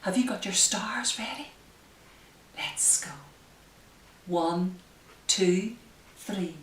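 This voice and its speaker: A woman hosting story time for small children as a librarian, speaking gently, asking them questions and leading into simple rhymes. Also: mid-range voice, drawn-out words. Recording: roomy echo, distant microphone.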